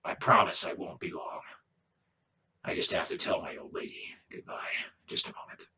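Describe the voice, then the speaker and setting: A man voicing a calm, persuasive figure offering a weighty choice in a game cutscene, speaking in measured, hushed phrases. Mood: calm, resolute. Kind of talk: slow, dramatic game cutscene dialogue. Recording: distant, roomy, faint background noise.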